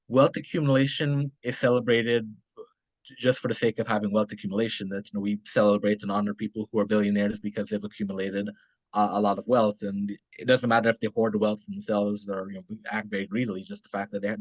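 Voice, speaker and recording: A man's voice: fairly low pitch, dry recording, rough recording. A man from Ghana giving an earnest academic lecture, with frequent restarts.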